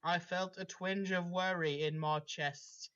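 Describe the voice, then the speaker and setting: A man's voice: high voice, steady tone, a little slow, sharp tone. A man reading fan fiction aloud and breaking off into casual, chatty asides. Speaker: story reader. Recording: dull lo-fi recording.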